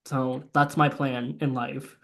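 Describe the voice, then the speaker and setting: A young man co-hosting a casual movie podcast, recapping the plot with lots of swearing and excitement. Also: mid-range voice, slow, vocal fry. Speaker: podcast co-host.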